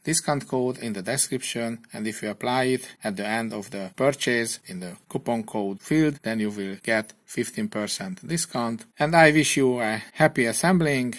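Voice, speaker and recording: Hungarian-accented man in a mid register, plain and a little halting, walking viewers through a kit build. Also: mid-range voice. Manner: plain, slightly halting non-native English. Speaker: electronics kit reviewer. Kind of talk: hobby electronics tutorial voice-over.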